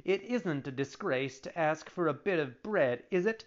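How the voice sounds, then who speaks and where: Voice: mid-range voice, animated, moderate pace, lo-fi sound, voice pushed up, close to an everyday voice. A reader voicing a whiny, petulant little wooden puppet boy in a storybook, with childish, breathless pleading. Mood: whining, self-pitying.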